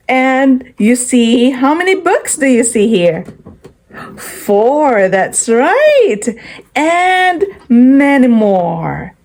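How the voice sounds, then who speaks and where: A woman reading a children's picture book aloud in a teacherly way, pausing to quiz and praise her young listeners. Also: sits high.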